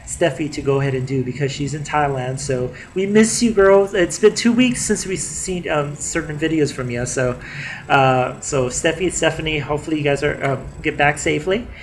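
A man's voice: on the high side, normal speed, a little hiss or hum, poor audio. Chatty man, slightly nasal, webcam vlog. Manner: chatty, many fillers and restarts. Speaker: vlogger. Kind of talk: casual video diary. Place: living room indoors. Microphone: webcam at desk distance.

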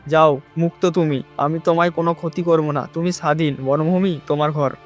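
A man's voice: fairly high pitch, fairly even intonation, a little fast, close to an everyday voice. Man voicing a poor woodcutter, honest, humble, earnest, stammers, cartoon, music behind. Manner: earnest, stammering when startled. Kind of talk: cartoon voice acting.

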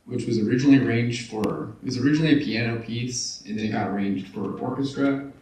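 A man's voice: mid-range voice, fast talker, a little mellow, recorded from a distance, a little hiss or hum. Young man from Texas, echoey hall. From Texas, USA. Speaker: bassoonist. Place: library concert hall. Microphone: handheld mic.

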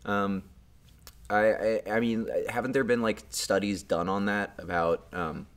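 A man's voice: on the low side, fairly even intonation, measured pace. A young adult man in casual podcast chat, speaking informally and a little hesitantly. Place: indoor room, seated group. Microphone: shared desktop microphone.

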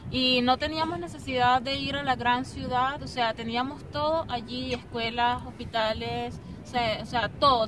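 A young woman with a Venezuelan accent hosting a casual outdoor interview, talking with lively hand gestures. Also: sits high. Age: young adult. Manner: animated, gestures with both hands while talking. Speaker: vlogger host. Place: outdoors on stone steps of an ancient theatre. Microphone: camera mic from a few meters away. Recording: distant outdoor camera audio with street noise.

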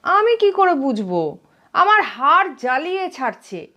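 A woman's voice: high voice, big pitch swings, unhurried, sharp tone, muffled recording, pitched above the performer's own voice, exaggerated voice. A woman voicing a fearless, plain-spoken older widowed aunt in a story, exclaiming and asking dramatic questions. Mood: indignant.

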